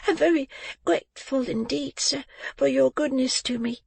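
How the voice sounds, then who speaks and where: A man's voice: very high voice, moderate pace, vocal fry, no room sound, pitched above the performer's own voice, exaggerated voice. A man voicing a frail, meek young boy in a storybook, timid, polite and grateful. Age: child.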